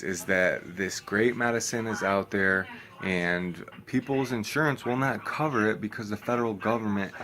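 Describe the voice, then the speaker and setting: A young man vlogging in a casual, low-energy, nearly monotone way, with many ums and restarts. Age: young adult. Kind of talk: casual vlog talk to camera.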